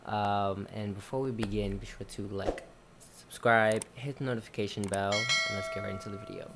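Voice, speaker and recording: A man's voice: lowish voice, fairly even intonation, normal speed, background noise. A young man giving informal, rambling commentary as he streams a video game. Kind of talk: casual gameplay commentary.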